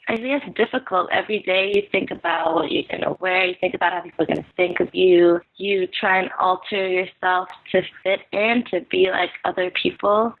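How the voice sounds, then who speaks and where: Soft-spoken woman from the Philippines over a phone line. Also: on the low side, gravelly. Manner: soft-spoken, hesitant with many fillers and restarts. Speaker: podcast guest. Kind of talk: casual interview answers. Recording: tinny phone-line sound.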